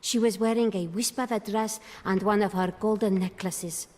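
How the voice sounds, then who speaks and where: A woman acting as a calculating brothel madam in a fantasy game. Her voice is mid-pitched, haughty and theatrical, ringing with long reverb. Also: steady tone, moderate pace, a little mellow, lightly acted. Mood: pleading, enticing. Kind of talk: video game dialogue.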